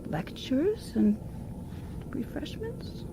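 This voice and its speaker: A woman's voice: high voice, sing-song, drawn-out words, background noise, full character voice. An actress in a radio drama playing an anxious, guilty wife, hesitant and pleading as she speaks. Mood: distressed, pleading. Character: anxious, guilt-ridden wife confessing to her husband.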